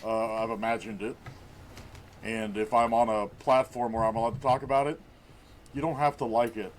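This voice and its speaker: This is a man in his forties chatting as a guest on a casual podcast. He jokes crudely and rambles, often restarting his sentences, in a voice of ordinary middle pitch with a faint hiss of noise behind it. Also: level tone, moderate pace. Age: 40s. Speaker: guest streamer.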